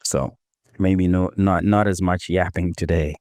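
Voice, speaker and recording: Male devotional host, very low voice, hoarse from a cold, gentle and unhurried, dry recording. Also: steady tone, dry recording. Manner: unhurried, gentle, self-correcting. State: recovering from a cold, slightly hoarse. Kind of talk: casual devotional reflection.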